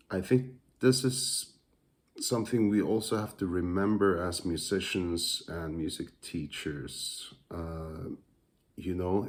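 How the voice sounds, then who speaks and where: A man with a Norwegian accent, a musician, teacher and father, thinking aloud in a casual, hesitant monologue full of pauses and fillers. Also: deep voice. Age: adult father.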